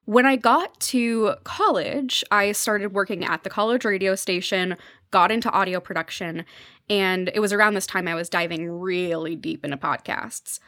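A woman's voice: mid-range voice, moderate pace, fairly bright tone, no echo, clean studio sound. A young adult woman talking conversationally as a podcast guest, explaining things with enthusiasm. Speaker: podcast guest, journalist covering fiction podcasts. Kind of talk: conversational interview commentary.

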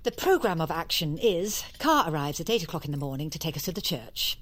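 A middle-aged English mother in an audio drama. She is brisk and dismissive, quick to contradict, with a very mobile, animated pitch and a matter-of-fact edge. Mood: brisk, dry. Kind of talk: naturalistic audio drama acting.

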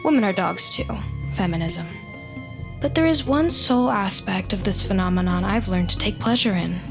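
A woman's voice: medium pitch, vocal fry, over music, natural voice. An actress playing a lonely, overworked New York woman in an audio drama, cynical and awkward. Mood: wry, brooding. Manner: wry when narrating, halting and flustered with others.

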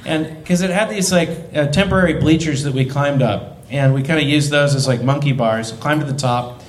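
A man telling a long, animated story on a casual podcast, laughing and filling with uh and you know. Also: a little high, moderate pace, reverberant, a little hiss or hum. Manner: animated storyteller, laughs often, many fillers. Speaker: podcast co-host.

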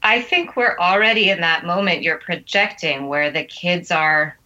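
A middle-aged woman, a guest writer on a podcast, giving measured, earnest and articulate answers. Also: fairly low pitch, pushed and bright, dull audio. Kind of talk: considered interview answers.

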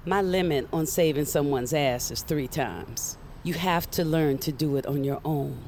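An actress playing a blunt, no-nonsense, mysterious mentor with a gruff delivery in an audio drama. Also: female voice, measured pace, darkish tone. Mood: wry, firm. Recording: faint vehicle rumble behind.

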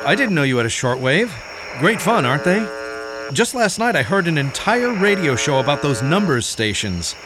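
Eager underling, high swooping voice. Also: male voice, big pitch swings, moderate pace. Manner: deferential, hesitant, rambling. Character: eager, chatty, awkward male underling. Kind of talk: audio drama acting. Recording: noisy night outdoors ambience, chirping crickets.

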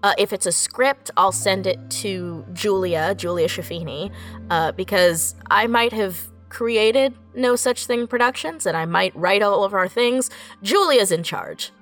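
A woman's voice: mid-range voice, unhurried, soft background music. A woman giving casual interview answers as an audio drama writer, animatedly acting out her own thoughts as she tells things. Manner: animated storytelling, acts out own thoughts in quotes.

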